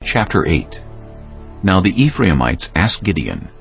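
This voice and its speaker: Very deep gravelly male narrator. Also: very animated, unhurried, dull audio, soft background music. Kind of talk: scripted scripture narration.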